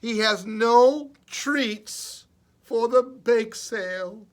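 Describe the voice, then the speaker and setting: A man reading aloud at a children's story time as a librarian, expressive and deliberate, with playful chatty asides. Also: very high voice.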